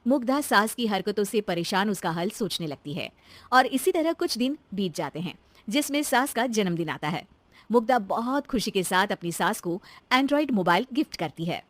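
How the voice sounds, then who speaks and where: A woman's voice: medium pitch, lively intonation, moderate pace, dry recording. A woman reading a scripted moral tale as the narrator of a story.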